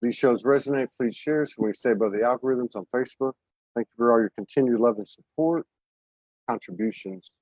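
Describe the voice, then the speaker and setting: A man's voice: medium pitch, darkish tone, dry recording, low-quality recording. A man hosting a live-streamed show in Hawaii who introduces it in a friendly, informal way. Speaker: show host.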